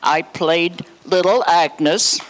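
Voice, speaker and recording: Slow-talking elderly woman telling memories. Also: on the low side, crowd noise, noisy background. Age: 80s or older. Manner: chatty, anecdotal, acts out quoted dialogue. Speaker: guest speaker, former child actress. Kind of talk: reminiscing to a live audience. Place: hotel meeting room, indoors. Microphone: handheld microphone on PA.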